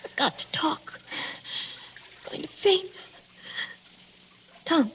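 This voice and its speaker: An actress playing a frightened young bride, exhausted, faint and short of breath, in a 1940s radio drama. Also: female voice, takes it slowly, natural voice. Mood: frightened, urgent. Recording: muffled 1940s radio recording.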